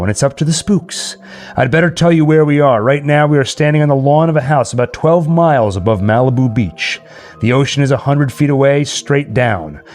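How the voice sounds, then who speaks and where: A man playing a nervous but showy radio DJ ghost hunter, addressing listeners deliberately in an old-time radio play. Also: medium pitch, a little mellow, lightly acted.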